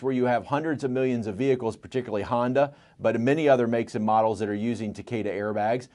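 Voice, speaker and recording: A man's voice: mid-range voice, darkish tone. American man, earnest and emphatic interview guest. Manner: earnest, emphatic, repeats phrases for stress. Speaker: plaintiffs' lawyer, interview guest. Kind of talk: radio interview, explanatory advocacy.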